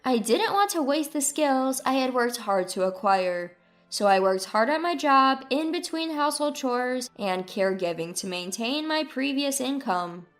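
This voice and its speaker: A woman's voice: high-pitched, animated, normal speed, faint music behind. A woman reading a scripted first-person story as its narrator.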